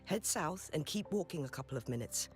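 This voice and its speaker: A woman's voice: low voice, animated, a little fast. Dignified, solemn noble lady. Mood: composed, commanding. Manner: formal, composed. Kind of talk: video game voice acting. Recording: music behind the voice.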